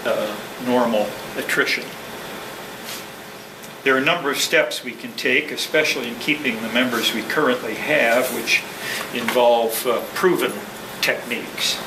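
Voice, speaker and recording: A man speaking as a club president, giving a prepared address to a meeting in a halting, measured, formal way. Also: medium pitch. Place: indoor meeting room with ventilation hum. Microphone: distant room microphone. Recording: distant mic, echoey room, clear background noise.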